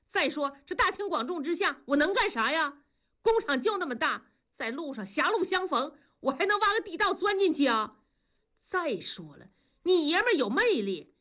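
Casting: blustering middle-aged husband for audiobook played by a woman, whiny, crude, defensive, high and swooping delivery. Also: sing-song, fairly quick. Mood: indignant defensive. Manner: shrill tirades full of indignant rhetorical questions. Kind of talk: audiobook character dialogue.

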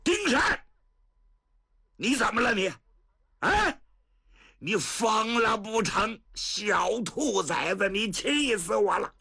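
Hot-tempered blustering old general, put on by a male storyteller. Loud and high, swinging wildly, sputtering. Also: sing-song, unhurried, husky, dry recording, studio quality, heavily acted. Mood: furious. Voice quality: shouting, sputtering, high and strained.

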